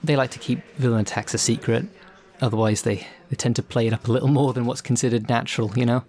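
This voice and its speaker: A man's voice: mid-range voice, fairly even intonation, fast talker, a little mellow, lightly acted. A man playing a chatty, self-deprecating supervillain for hire in an audio roleplay, rambling politely and hesitantly. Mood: apologetic, polite. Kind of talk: audio roleplay, conversational in-character monologue.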